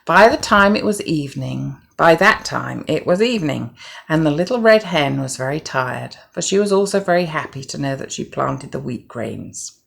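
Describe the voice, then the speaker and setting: A middle-aged woman narrating a children's story from a script in a measured, gentle way. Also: low-pitched, animated, gravelly, breathy edge.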